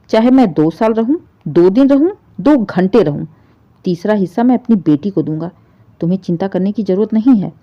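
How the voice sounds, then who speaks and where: A woman voicing an elderly widowed father in a moral story, wounded, proud and resolute, speaking with emphatic feeling. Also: on the low side. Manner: emphatic, emotional pleading and scolding. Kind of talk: dramatised Hindi moral story. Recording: dull, muffled lo-fi audio.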